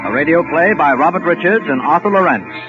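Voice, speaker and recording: A man announcing a 1940s radio program in a dramatic, deliberate manner. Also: fairly high pitch, animated, dull audio. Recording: old radio recording with loud organ music.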